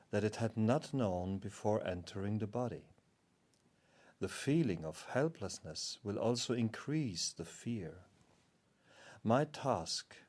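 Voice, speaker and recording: A low, unhurried cosmic guardian spirit, voiced by a man. The speech is formal and measured, like a calm teacher of spiritual matters, solemn and very deliberate.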